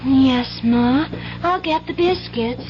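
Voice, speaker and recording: A woman's voice: sits high, slow pace. An actress playing an earnest, dreamy little girl in a 1970s radio drama, folksy and full of childlike wonder. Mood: eager, earnest. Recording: muffled 1970s radio recording, music behind.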